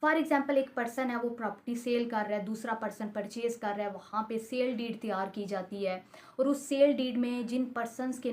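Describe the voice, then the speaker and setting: High-pitched Pakistani woman giving a lecture. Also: a little mellow. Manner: didactic, repeats and rephrases points with examples. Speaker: law lecturer. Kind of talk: explanatory lecture mixing in English legal terms.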